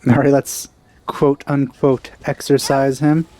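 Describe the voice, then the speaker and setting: Wry man with a mellow voice, gaming commentary. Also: medium pitch, little pitch movement, slow pace. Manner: dry, wry asides. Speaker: video game let's player. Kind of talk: casual gameplay commentary. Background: game music.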